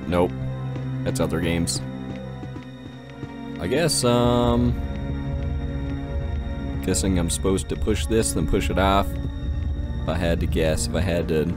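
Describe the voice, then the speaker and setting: A man's voice: low-pitched, slow, pauses often, over music. A man giving casual, joking gameplay commentary in a slangy, sweary way, as host of a gaming video.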